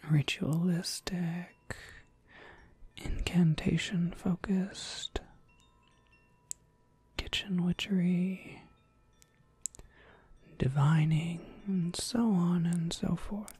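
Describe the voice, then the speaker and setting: A gentle witch shopkeeper, acted by a woman, whispers in an ASMR roleplay. The voice is very breathy and fairly low, with slow, unhurried phrasing. The manner is polite, attentive and softly reassuring. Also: steady tone, full character voice.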